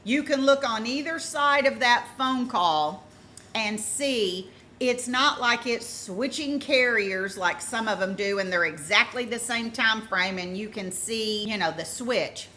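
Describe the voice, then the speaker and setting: Woman, sharp bright tone, unhurried pace, informal true-crime commentary, emphatic, repeats key points. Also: a little high, a little hiss or hum.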